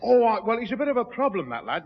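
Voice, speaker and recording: An actor playing a jovial middle-aged Northern English bachelor who pompously muddles fancy words in a 1960s radio sitcom. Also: male voice, very high voice, very animated, fast talker, slightly rough, exaggerated voice. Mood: confiding. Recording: muffled, hissy, reverberant 1960s radio recording.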